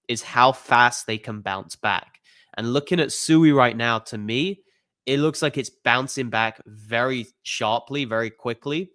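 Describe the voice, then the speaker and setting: A man with the flu walks through crypto charts in a relaxed, chatty monologue, tossing in "you know" and "okay?" as he goes. His voice stays smooth and resonant despite the illness. The sound is dry and close, with no room echo. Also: on the low side. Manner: conversational, filler-heavy, "you know" and "okay?" tags. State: has the flu, voice a bit off. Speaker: crypto channel host. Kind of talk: casual chart analysis monologue.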